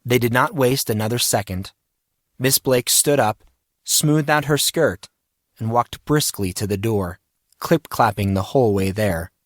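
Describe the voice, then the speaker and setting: A man's voice: medium pitch, unhurried, no room sound. Man narrating a story, dry close sound. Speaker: audiobook narrator.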